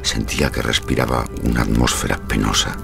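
An actor playing a grave, concerned gentleman in a Gothic audio drama, solemn and measured. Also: rumbling low, fairly even intonation, creaky, music in the background, exaggerated voice. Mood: solemn, uneasy. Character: grave, concerned gentleman visitor recounting a horror.

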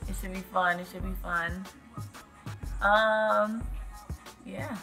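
A woman's voice: medium pitch, steady tone, slow pace. A young adult woman chatting casually to the camera in a vlog. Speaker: singer, subject of the vlog. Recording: music over talk. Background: music.

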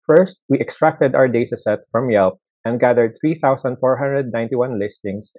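A man presenting a data science project on a video call, reading at a measured pace. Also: medium pitch, darkish tone, no room sound. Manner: measured reading with small restarts. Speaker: student presenter. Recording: dull lo-fi laptop mic.

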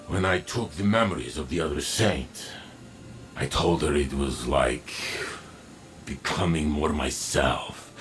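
A gentle machine warrior from a video game, speaking in a deep voice. He is slow and halting, yet his pitch moves with lively, heartfelt emphasis. Music plays behind him. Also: full character voice. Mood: warm, reflective. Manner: halting, simple phrasing with emphatic pauses. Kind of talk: video game character dialogue.

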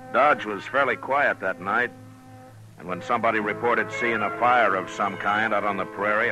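An American actor playing a calm, world-weary frontier lawman in a 1950s radio Western, speaking in a measured, laconic way. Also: deep voice, close to an everyday voice. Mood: reflective. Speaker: lead actor and narrator. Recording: old 1950s radio broadcast, dull and noisy.

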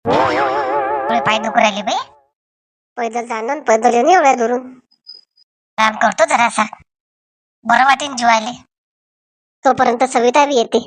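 A woman's voice: fairly high pitch, animated, measured pace, long pauses, dry recording. A woman voicing gossipy, scheming village women in a Marathi comedy cartoon, with a Varhadi accent from Vidarbha and a colloquial, dramatic way of talking. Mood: homely, chatty.

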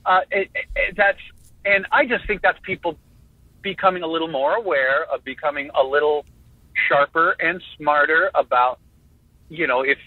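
A man in his fifties, a guest in an interview, talking in a jokey, animated way with many halting restarts over a phone line. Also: a little high, no echo. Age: 50s. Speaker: guest drummer. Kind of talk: casual interview talk.

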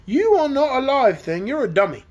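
A woman voicing a gruff, boastful young male dragon in a storybook reading. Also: high-pitched, big pitch swings, fairly quick, a little mellow, voice pushed up. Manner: sneering, blunt. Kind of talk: audiobook character reading.

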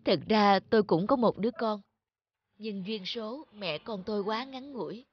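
A woman acting a gentle, lonely, kindly neighbour in an animated moral tale, subdued in manner. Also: mid-range voice, normal speed, slightly rough, no room sound, lo-fi sound, lightly acted. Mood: wistful.

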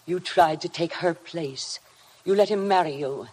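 A woman's voice: fairly low pitch, a little slow, a little mellow, lightly acted. An actress playing a sinister, obsessive housekeeper who goads her mistress with servile, hypnotic menace in a 1950s radio drama. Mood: obsessive bitterness. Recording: noisy old 1950 radio broadcast recording.

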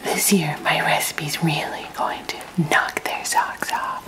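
Woman voicing a prim alien housewife, breathy whisper throughout, very slow delivery, close-mic ASMR. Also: low-pitched, wildly swooping pitch, exaggerated voice. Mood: sweet whisper. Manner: whispered, sugary, smug small talk. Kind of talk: ASMR roleplay. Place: pink kitchen set, indoors.

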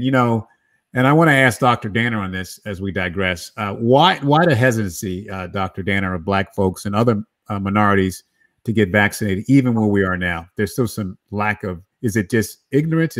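A middle-aged man moderating a live video-call panel, animated and addressing each panelist by name. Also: on the low side, brisk. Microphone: video call webcam with desk microphone.

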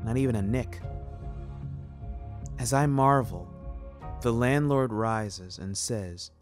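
A man reading scripted first-person fiction in a deliberate, ornate and measured storytelling manner. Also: mid-range voice. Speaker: narrator of a serial audio story. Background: music.

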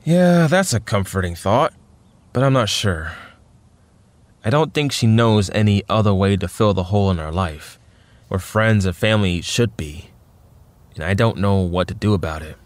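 A man's voice: lowish voice, moderate pace, dry recording, lightly acted. A man acting in an audio drama as a weary but wry young superhero student, talking hesitantly with self-deprecating humour. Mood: weary, troubled.

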